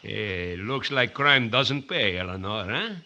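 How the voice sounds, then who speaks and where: An actor playing a swaggering, sardonic gangster boss with slangy wisecracks in a 1940s radio drama. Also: male voice, lowish voice, animated, slow, pushed and bright, lo-fi sound, full character voice. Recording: hissy vintage radio recording.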